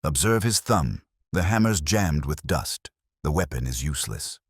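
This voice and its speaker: A man voicing a cool, precise detective in an audiobook, calm, steady and authoritative. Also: rumbling low, moderate pace, breathy edge, dry recording, studio quality, full character voice. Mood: cold, controlled.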